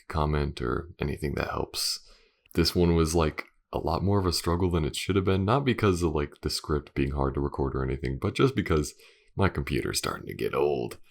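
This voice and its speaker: A man's voice: a bass voice, vocal fry, darkish tone. A young man who voice-acts and hosts his channel, casually chatting through an outro with loose fillers like "like" and "uh". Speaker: voice actor and channel host.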